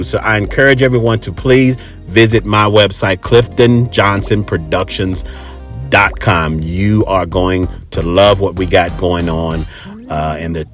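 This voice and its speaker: A man's voice: mid-range voice, a bit bright. An American man hosting a podcast in a casual, chatty monologue, talking colloquially and emphatically and repeating phrases for effect. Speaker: podcast host.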